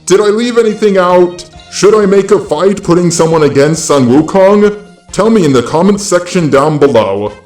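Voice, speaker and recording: A man's voice: high voice, expressive, moderate pace, darkish tone, reverberant. Synthetic robot male narrator over music. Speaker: video host and narrator. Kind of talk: scripted narration. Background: background music.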